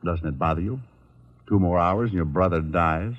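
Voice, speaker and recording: An actor playing a cool, wisecracking American private detective with a dry, sardonic manner in an old radio drama. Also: male voice, low-pitched, normal speed. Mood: firm, dry. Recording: muffled old radio recording.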